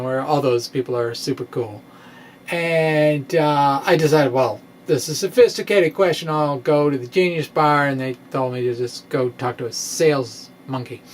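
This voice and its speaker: A middle-aged man gives an informal, theatrical science monologue in a halting, quirky storytelling manner. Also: a little high, a little hiss or hum. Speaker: science vlogger, amateur physicist. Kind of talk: informal dramatic blog reading, explanatory monologue. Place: indoor room with bookshelves. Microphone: microphone on stand, close in front.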